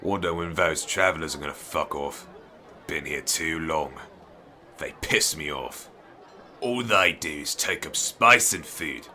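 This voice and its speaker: A man playing a surly, bitter village thug with a crude, gruff, menacing manner. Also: extremely low pitch, measured pace, bright, forceful tone, some chatter behind, full character voice. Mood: grumbling. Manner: gruff, foul-mouthed, menacing.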